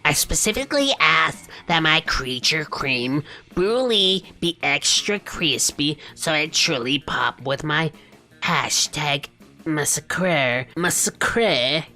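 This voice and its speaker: Man doing a slow, snobbish young hipster. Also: on the high side, animated, pushed and bright, soft background music, exaggerated voice. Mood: indignant. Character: disgruntled, snobbish young male hipster vampire student.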